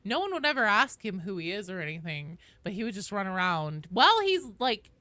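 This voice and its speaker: A woman's voice: medium pitch, big pitch swings, a little fast, bright, forceful tone, no echo. A woman chatting casually to viewers as a game livestreamer, playful and joking, with some swearing. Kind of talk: casual livestream chat while gaming.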